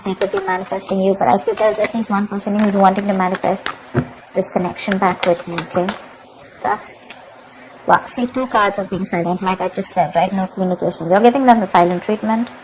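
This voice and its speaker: Woman doing a tarot reading, average pitch, keeps saying okay, pushy and repetitive, little bit of hiss behind. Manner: emphatic, repeats herself, keeps asking "okay?". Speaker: tarot reader.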